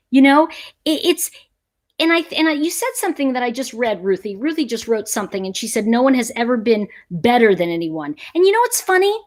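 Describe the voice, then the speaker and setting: A middle-aged American woman hosting a casual livestream chat, talking emphatically and conversationally and repeating phrases for stress. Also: on the high side. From United States. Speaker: livestream host; teacher. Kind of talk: casual livestream chat, reading and answering viewer comments.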